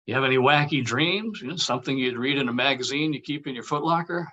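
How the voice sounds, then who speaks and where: A man's voice: medium pitch, quick pace, pushed and bright, highs cut off. A man playing a brash, teasing buddy in a comedy table read. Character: brash, teasing male buddy, inappropriate but quick to cover.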